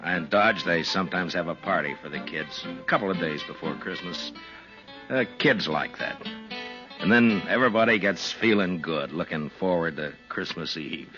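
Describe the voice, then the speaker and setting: A man's voice: sits low, moderate pace, natural voice. An actor playing an easygoing, modest frontier marshal in a 1950s radio western, conversational and a little hesitant in his plain-spoken way. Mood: warmly reminiscing. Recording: muffled 1950s radio recording with music.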